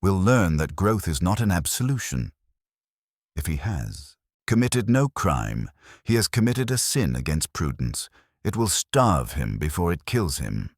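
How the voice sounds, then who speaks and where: Audiobook role: methodical, lordly male sleuth voiced by a man, extremely low pitch, dry close sound, pronouncing verdicts formally. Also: sing-song, dry recording, full character voice. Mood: commanding, stern. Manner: formal, pompous, measured phrasing.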